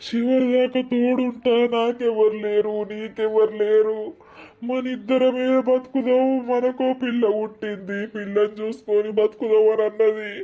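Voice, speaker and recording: An actor playing a grieving widowed village father who speaks softly and tearfully, with a Telangana Telugu accent. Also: medium pitch, flat delivery, moderate pace, narrow, tinny audio, lightly acted. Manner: soft, tearful, consoling. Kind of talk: amateur village drama acting.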